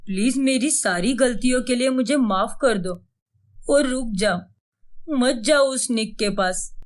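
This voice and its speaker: A woman's voice: on the high side, a little slow, close to an everyday voice. A woman playing an emotional husband in a dramatized story, speaking in an emphatic, melodramatic way. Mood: pleading, remorseful. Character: jealous husband, first scornful, then pleading and remorseful.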